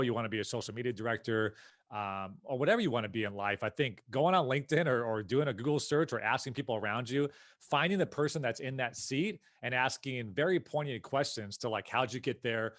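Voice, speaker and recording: An American man speaks as a guest on a podcast, in a conversational and opinionated way. His voice sits at an average male pitch, and he puts emphasis on his points. The sound is dry, with no room echo. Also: quick pace, fairly bright tone. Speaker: podcast guest, company founder and CEO. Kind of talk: casual interview conversation.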